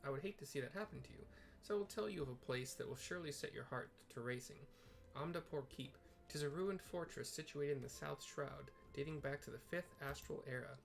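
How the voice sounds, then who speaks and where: A man's voice: medium pitch, vocal fry, natural voice. A man voicing a florid explorer in a fantasy game, urging on adventurers with theatrical, old-fashioned turns of phrase.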